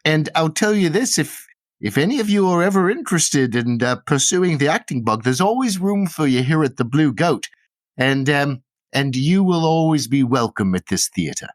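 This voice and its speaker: A man's voice: on the high side, animated, normal speed, no room sound, natural voice. A man plays a stage actress from a fantasy tabletop game, motherly and flirty. She gushes with praise and calls everyone love, in a close, dry sound. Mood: warm, grateful. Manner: gushing, calls people love. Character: warm, flirty, motherly theater actress Sheeny.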